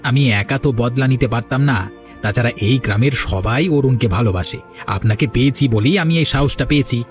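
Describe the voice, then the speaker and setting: A man plays a bitter but timid village sidekick in a cartoon. He talks fast in an aggrieved, complaining tone. Faint music is behind him. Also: mid-range voice, close to an everyday voice. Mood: resentful. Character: resentful, timid villager betraying his friend. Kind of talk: Bengali cartoon dialogue dubbing.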